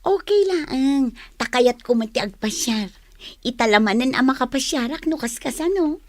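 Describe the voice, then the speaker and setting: A woman's voice: high-pitched, lively intonation, moderate pace, no room sound. A woman playing an eager, agreeable village friend in an Ilocano radio drama, with an Ilocano accent.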